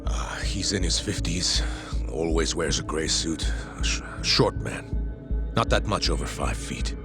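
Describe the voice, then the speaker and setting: A man playing a nervous, reluctant informant in a video game cutscene, talking in a hesitant, halting way. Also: extremely low pitch. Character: nervous, reluctant male captive informant under pressure. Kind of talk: English video game cutscene dub acting. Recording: music playing behind the voice.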